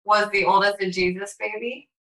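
A woman's voice: medium pitch, steady tone, moderate pace, sharp tone. A woman who grew up in Latin America, hosting a casual interview and asking direct, curious questions. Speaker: podcast host.

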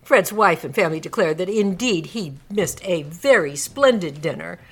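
A woman's voice: lowish voice, expressive, normal speed, husky. A woman narrator reading a classic story aloud in a dramatic scripted storybook style. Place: radio studio.

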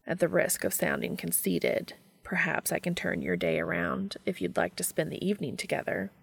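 An earnest, courteous man from an audiobook romance as a woman acts him out, quietly flirty and formal in his phrasing. The voice is lowish and creaky, in a very dry recording. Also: very animated, dry recording, heavily acted. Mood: courteous, inviting. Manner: formal, measured wording.

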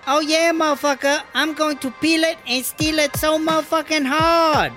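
A woman playing a foul-mouthed, swaggering battle rapper, rapping brashly in a high voice for a comedy audio drama. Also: fairly even intonation, normal speed, a bit bright, faint music behind. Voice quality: high-pitched.

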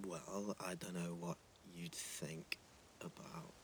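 A man's voice: low-pitched, steady tone, slow, vocal fry, a bit bright, a little hiss or hum, heavily acted. An actor playing a shy, earnest teenage schoolboy, hesitant and flustered, repeating his words in a naturalistic audio drama. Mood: guarded, awkward. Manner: hesitant, stammering repetitions, flustered.

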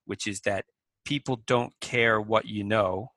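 A man answering interview questions in a measured, thoughtful way, with small restarts. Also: on the low side, steady tone, fairly bright tone, dry recording, clean studio sound. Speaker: podcast guest.

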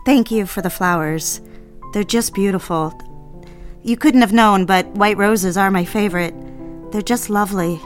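A woman's voice: medium pitch, moderate pace, close to an everyday voice. A woman playing an earnest, proper young single career girl in an audio drama, speaking in old-fashioned, demure phrases. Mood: pleased, flattered. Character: earnest twenty-four-year-old single career girl telling her diary. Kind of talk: audio drama narration and dialogue. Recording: music under the voice. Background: music.

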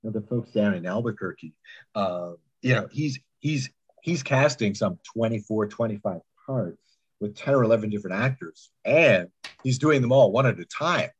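A man's voice: lowish voice, animated, normal speed, slightly rough. A man speaking as a podcast producer in a casual interview, with an emphatic, rambling storytelling manner. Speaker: podcast producer and sound editor. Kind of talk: animated casual interview chat.

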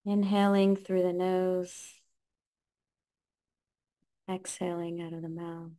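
A woman guides a meditation at a very slow pace. Her voice is soft-edged and dark, with very little movement in pitch. She gives calm, gentle instructions in short phrases with space between them.

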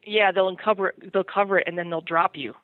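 A middle-aged woman chatting casually and telling personal anecdotes in an animated, self-deprecating way. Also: medium pitch, fast talker, a little hiss or hum. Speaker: podcast segment guest on horse parenting. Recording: thin phone-like line.

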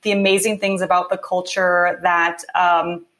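A woman's voice: fairly low pitch, little pitch movement, unhurried, fairly bright tone. A young woman from California, a podcast guest telling her story in a reflective, casual way with many fillers and restarts. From California, USA. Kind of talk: casual interview.

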